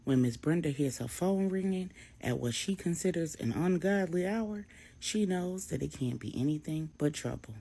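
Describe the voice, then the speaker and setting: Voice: mid-range voice, animated, moderate pace, vocal fry, warm tone. A storyteller narrating a dramatic tale for adults in a vivid, colloquial way.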